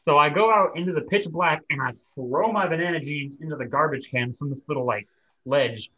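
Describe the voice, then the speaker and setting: A man's voice: fairly high pitch, a little fast, fairly bright tone, slightly rough, highs cut off. A young man casually telling an anecdote to friends as a podcast co-host.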